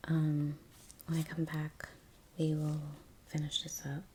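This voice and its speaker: A woman speaking in a soft, breathy half-whisper, chatting casually and haltingly in an ASMR style as she paints. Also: sits low, monotone, slight background noise. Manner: unhurried, halting, trailing pauses. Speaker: ASMR painting video creator. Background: soft brush sounds.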